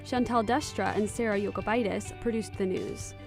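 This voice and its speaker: A woman's voice: medium pitch, steady tone, normal speed, gravelly, darkish tone, soft background music. A woman co-hosting a student radio news show, presenting in a friendly, upbeat way. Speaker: co-host.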